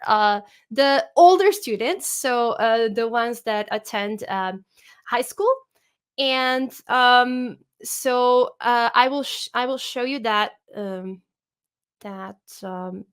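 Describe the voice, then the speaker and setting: Woman teacher, hesitant webinar presenter on a video call, slightly high voice. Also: fairly bright tone, clean tone. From Serbia. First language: Serbian. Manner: hesitant, many filler sounds. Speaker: music teacher.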